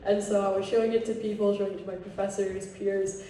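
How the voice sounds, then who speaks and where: A woman's voice: mid-range voice, flat delivery, fast, dark tone. A young woman from Texas, a student filmmaker, answering casually with many fillers. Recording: echoey hall, distant mic, faint hum.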